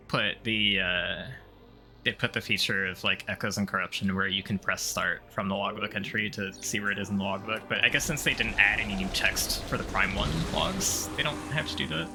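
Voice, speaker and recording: A man giving casual, rambling gameplay commentary as a streamer, swearing freely and picking at details. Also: lowish voice. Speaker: streamer playing a video game. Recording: game music behind the voice. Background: video game music.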